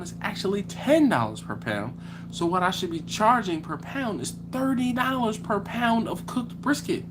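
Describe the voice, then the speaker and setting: A man's voice: fairly high pitch, noisy background. Philly man chatting on a livestream. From Philadelphia. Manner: animated, acts out back-and-forth dialogues. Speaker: host of a barbecue livestream. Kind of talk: casual livestream chat reading comments.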